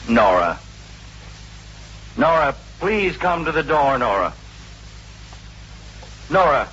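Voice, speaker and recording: A man's voice: fairly high pitch, big pitch swings, slow, a bit bright, slightly rough, heavily acted. An actor in a 1950s radio drama playing an anxious, courteous judge, formal yet earnest and imploring with his wife. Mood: calling, pleading. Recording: noisy, dull vintage radio recording.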